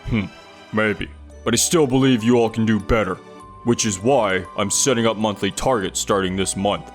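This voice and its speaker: A man as a firm, low-voiced sales boss. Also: moderate pace, faint music behind, lightly acted. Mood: stern. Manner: firm, authoritative. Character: demanding, numbers-driven male sales manager. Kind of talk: English manga dub acting.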